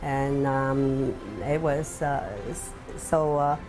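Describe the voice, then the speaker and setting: A woman restaurateur with a Hong Kong Chinese accent, speaking in a documentary interview and leaning on lots of fillers as she pauses and restarts. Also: rumbling low, level tone, music in the background. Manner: halting, many fillers.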